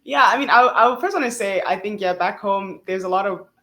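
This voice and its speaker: A woman's voice: mid-range voice, fairly quick, fairly bright tone. Chatty young woman from South Africa, native Swahili. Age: young adult. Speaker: interview guest, engineering PhD student. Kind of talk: casual conversational interview.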